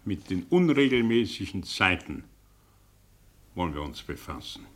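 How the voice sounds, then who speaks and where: A man's voice: deep voice, very animated, normal speed, fairly bright tone. An actor playing a scornful, cryptic male teacher in a radio drama, declaiming emphatically and talking down to his pupil. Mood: patronising. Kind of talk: theatrical radio drama monologue.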